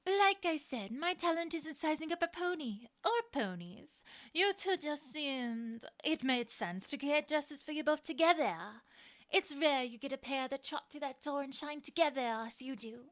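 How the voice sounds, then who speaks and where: A woman's voice: sits high, moderate pace, fairly bright tone. A woman playing a refined pony fashion designer in an audio story, poised, formal and appraising. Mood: dryly businesslike.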